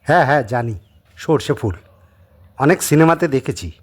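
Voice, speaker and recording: Man voicing a curious, lively young city visitor. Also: medium pitch, normal speed, husky. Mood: excited. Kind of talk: Bengali audio story acting.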